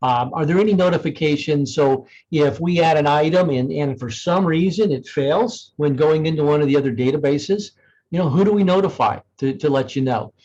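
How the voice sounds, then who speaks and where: Higher-voiced man giving a software demo, dull audio. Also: normal speed. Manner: conversational, explanatory, thinking aloud. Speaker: software demonstrator. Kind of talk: informal software demo walkthrough.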